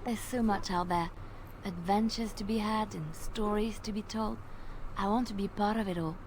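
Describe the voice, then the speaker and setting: A woman playing a gentle, bashful bard adventurer in a fantasy video game, earnest, hesitant and playful. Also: medium pitch, normal speed, natural voice. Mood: hopeful, playful. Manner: soft-hearted, hesitant, earnest. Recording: clearly noisy game playback.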